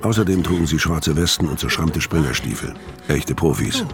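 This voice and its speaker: An actor playing a world-weary career criminal, narrating a noir audio drama in a terse, deadpan way. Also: male voice, a bass voice, moderate pace. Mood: tense, grim. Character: hard-boiled, world-weary male career criminal narrating his story. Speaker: first-person narrator. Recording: music bed under voice.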